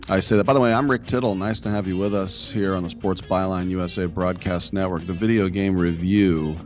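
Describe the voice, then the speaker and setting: Raspy guy hosting radio, low voice, rambly, bit of music under him. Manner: chatty, rambling with fillers. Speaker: radio show host. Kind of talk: live radio talk show.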